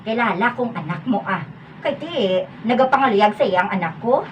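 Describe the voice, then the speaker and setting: A woman's voice: on the high side, expressive, moderate pace, natural voice. A woman acting in a comedy radio drama as a scheming middle-aged mother, bossy, wheedling and theatrically exclamatory. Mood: wheedling, flattering. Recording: noisy, distant-sounding room recording.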